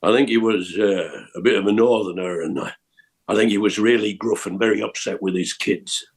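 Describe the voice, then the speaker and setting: Low, creaky, husky-voiced man musing. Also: a little fast. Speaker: voice actor. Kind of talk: casual reminiscing about characters, with lines acted out.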